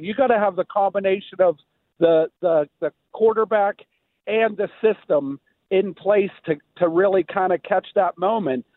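An American man phoning a radio show with casual sports opinions, speaking in a halting way over a phone line. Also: high voice, no echo. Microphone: telephone. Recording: narrow tinny phone line.

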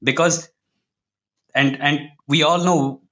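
A man's voice: a little high, steady tone, takes it slowly, sharp tone, lo-fi sound. Reflective Indian man in podcast conversation. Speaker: guest, comic book writer.

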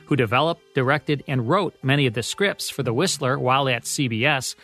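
A man's voice: medium pitch, a little fast, bright, forceful tone, faint music behind. An American man co-hosting a podcast, reading scripted narration about radio history. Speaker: podcast co-host.

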